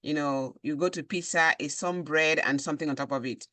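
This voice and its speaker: Female host, animated interviewer, dry recording. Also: low-pitched, a little fast, dry recording. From Cameroon. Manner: animated questioning, frequent backchannels. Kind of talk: interview conversation.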